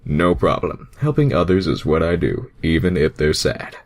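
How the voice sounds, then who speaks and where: A man's voice: rumbling low, big pitch swings, normal speed, exaggerated voice. A man voicing a friendly, easily startled cartoon hedgehog hero in a fan fiction reading. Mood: kind, earnest.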